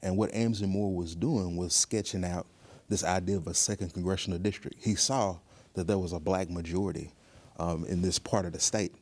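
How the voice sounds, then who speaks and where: A man with a very deep voice answers as an interview guest. He explains things earnestly and deliberately, in a measured, thoughtful manner. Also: fairly even intonation, a little mellow. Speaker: museum professional guest.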